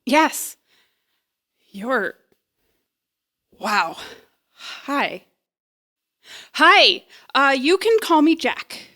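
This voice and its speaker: A woman's voice: sits high, big pitch swings, drawn-out words, pauses often, pushed and bright, heavily acted. A woman playing a nervous, eager young woman in an audio drama, rambling and hesitant as she bluffs her way along. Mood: flustered, eager. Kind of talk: naturalistic audio drama acting.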